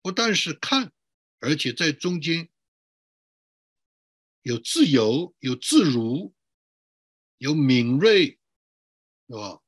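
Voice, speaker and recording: A male pastor giving a sermon in an emphatic way, building up repeated lists and rhetorical questions, with a husky voice. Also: a little high, very animated, slow pace, pauses often, no room sound, clean studio sound. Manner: emphatic, repetitive listing.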